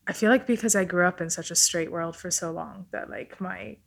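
Podcast guest: woman, native Italian speaker, fairly low voice, informal, thinking out loud. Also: brisk, vocal fry. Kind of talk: casual chat.

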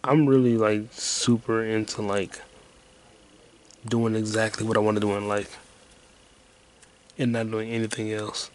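Low-key man mumbling in a flat drone. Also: measured pace, long pauses. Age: 20s. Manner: whispered rambling. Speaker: podcast host. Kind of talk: rambling solo podcast monologue.

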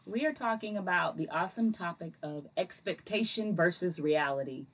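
Female podcast host, slightly lower register, colloquial and playful, introducing topics in a casual style. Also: lively intonation, moderate pace, a bit bright. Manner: playful, colloquial, acts out quoted remarks. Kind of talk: casual chat.

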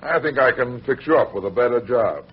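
An actor playing an unruffled, tough American private detective in an old-time radio crime drama. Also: male voice, medium pitch, lively intonation, fairly quick, slightly rough. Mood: cool, matter-of-fact. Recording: muffled vintage radio recording, music underneath.

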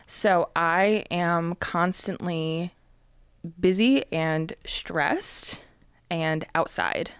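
A woman in her twenties co-hosting a podcast in casual chat, rambling with lots of fillers, with a slightly raspy voice. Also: on the low side, very slow, dry recording, studio quality. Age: 20s. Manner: chatty, rambling with many fillers. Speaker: podcast co-host. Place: small studio.

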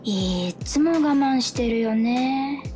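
A woman playing a soft, drowsy, gently coaxing female demon in a radio drama. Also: sits high, lively intonation, slow, faint music behind. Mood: hurt, reproachful. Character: languid, gently tempting female demon of post-holiday blues.

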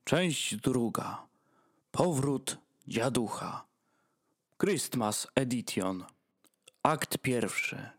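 A man's voice: mid-range voice, animated, extremely slow, long pauses. A man announcing the title and acts of a radio play from a script.

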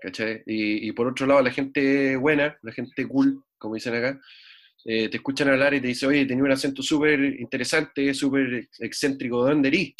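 A man's voice: medium pitch, fairly even intonation, fairly quick. A man from Chile with a Chilean accent, talking casually as an interview guest, rambling and repeating words. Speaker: guest musician.

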